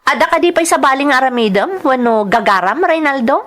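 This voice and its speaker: A woman's voice: high voice, animated, fairly quick, fairly bright tone, pitched above the performer's own voice. A woman playing an irritable, haughty young village woman in a radio-style drama, curt and scolding, with an Ilocano accent. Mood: curt, annoyed.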